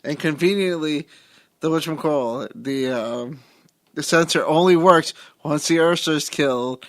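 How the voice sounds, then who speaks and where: A man's voice: a little high, unhurried, a bit bright. A man co-hosting a movie podcast in casual discussion, wry and questioning as he picks apart plot holes. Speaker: podcast co-host.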